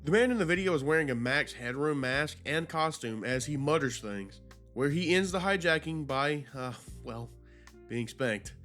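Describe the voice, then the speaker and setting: A man's voice: a little high, lively intonation, normal speed, a bit bright, faint music behind. A man hosting a video commentary, narrating in an informal, slangy, conversational way. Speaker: YouTube host.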